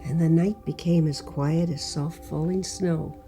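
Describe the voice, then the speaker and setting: A woman's voice: deep voice, steady tone, a little slow, warm tone. A woman voicing an eager, loving young boy who earnestly begs and questions, in a dramatic storybook read-aloud. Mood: earnest, wondering. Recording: music under the voice.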